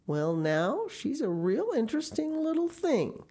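A woman voicing a shy, mild older man from a storybook, gently stubborn, with slow, halting, reluctant speech. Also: a little high, big pitch swings, breathy edge, voice pushed up, heavily acted. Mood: mildly persistent. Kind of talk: storybook character reading.

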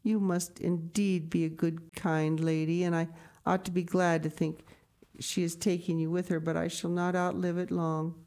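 A woman voicing a poor, frail old grandmother who speaks gently and with a sigh. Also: lowish voice, very animated, moderate pace. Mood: sad. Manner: gentle, sighing and tremulous.

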